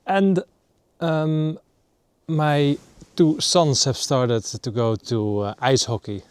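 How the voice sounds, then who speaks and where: A man in his thirties from the Netherlands, a native Dutch speaker, talking casually to camera in a halting way with many fillers. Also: on the high side, fairly even intonation, clean tone, no room sound. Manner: halting, many ums and uhs. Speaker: nature vlogger. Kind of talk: casual vlog talk to camera. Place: outdoors in northern Sweden.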